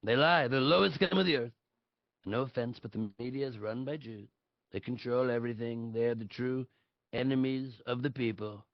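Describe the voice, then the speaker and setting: Casting: male actor as brash, bragging older politician for stage satire, gravelly, close and dry sound. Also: medium pitch, moderate pace, no room sound, lightly acted. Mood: scornful. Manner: boastful, blunt, repeats superlatives. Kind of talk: live table read of a satirical play. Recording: dull lo-fi audio.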